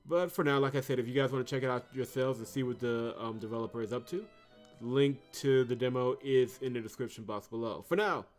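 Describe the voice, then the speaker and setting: A man with a middling voice commentates a visual novel playthrough. He alternates between reading narration and teasing the game with wry remarks, with soft music underneath. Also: mid-range voice, a little fast. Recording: music behind the voice.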